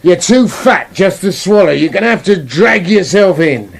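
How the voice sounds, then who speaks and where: A man's voice: high voice, animated, moderate pace, gravelly, heavily acted. A British man playing a sardonic, bossy wolf in a comic radio play, grumbling and sarcastic. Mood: bossy, instructing. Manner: sarcastic, exasperated, sweary.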